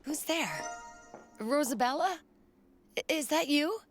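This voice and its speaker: A woman's voice: sits high, expressive, takes it slowly, faint music behind. An actress playing an anxious young woman adventurer in a fantasy game. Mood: uncertain. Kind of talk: fantasy game cutscene acting.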